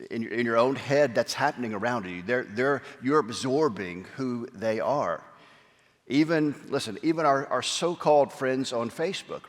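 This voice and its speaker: A man giving a conversational Sunday sermon as pastor, with many fillers and restarts. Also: fairly low pitch. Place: church sanctuary. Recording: long hall reverb.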